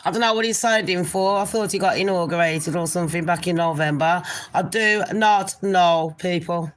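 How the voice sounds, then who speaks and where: A woman's voice: lowish voice, moderate pace. Nasal woman chatting to viewers. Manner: chatty, rambling, calls viewers people and darlings. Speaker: gossip livestream host. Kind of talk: casual live chat to viewers.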